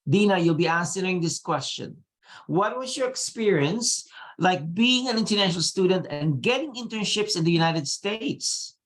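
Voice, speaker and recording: A moderator running a student panel, calling on panelists by name and prompting them briskly with questions. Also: mid-range voice, animated.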